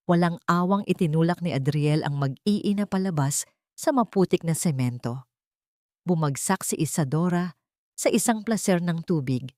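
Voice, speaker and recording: Female audiobook narrator, slightly low register, scripted dramatic storytelling, very dry sound. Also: a little mellow, dry recording.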